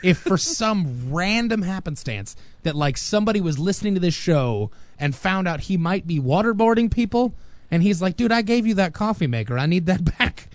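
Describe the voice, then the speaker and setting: A man's voice: high voice, big pitch swings, moderate pace, no room sound. A man co-hosting a podcast, talking in casual, joking banter. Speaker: podcast co-host.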